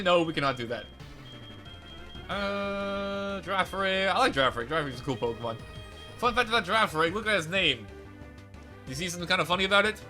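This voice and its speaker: A young man commentating a video game playthrough in a chatty, rambling way, his voice slightly raspy and crackly today. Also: on the high side, bright, forceful tone. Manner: rambling, chatty, self-correcting. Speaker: let's play host.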